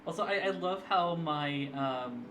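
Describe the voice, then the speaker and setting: A man hosting a stream and chatting with a friend, who teases and laughs often and sometimes stops to explain a point. His fairly high voice rings in an echoey room. Guitar music plays under him. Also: measured pace, a bit bright. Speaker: streamer, host. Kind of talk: casual chat.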